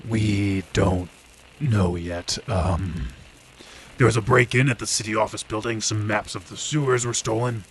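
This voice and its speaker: Gravelly, hungover radio detective. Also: male voice, fairly low pitch, fairly even intonation, measured pace, a little hiss or hum. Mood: weary. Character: gruff hungover hard-drinking male police detective, weary. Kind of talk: old time radio drama acting.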